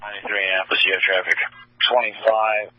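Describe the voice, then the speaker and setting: A man's voice: fairly low pitch, flat delivery, quick pace, no echo, highs cut off. A man working as a police dispatcher, speaking in clipped, procedural radio phrases over a scanner feed. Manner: terse, procedural. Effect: two-way radio transmission.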